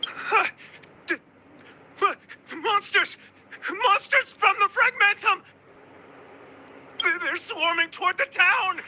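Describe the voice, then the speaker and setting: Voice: extremely high pitch, slow, pauses often, pushed and bright, exaggerated voice. A performer playing a frightened young townsperson in a video game, stammering in panic. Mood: terrified. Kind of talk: dramatic video game voice acting.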